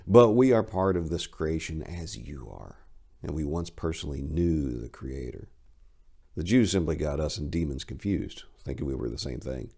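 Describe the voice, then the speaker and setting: A man voicing an ancient male fallen angel in a tailored suit, speaking in a measured, reassuring, matter-of-fact way. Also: extremely low pitch, animated, gravelly, a little mellow, exaggerated voice.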